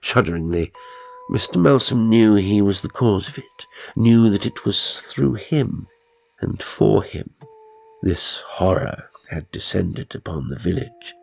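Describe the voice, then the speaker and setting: A man narrating a horror story in a measured, ominous, theatrical way, with a gravelly, husky voice. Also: on the low side, animated, a little mellow. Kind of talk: dramatic scripted horror narration. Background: faint music.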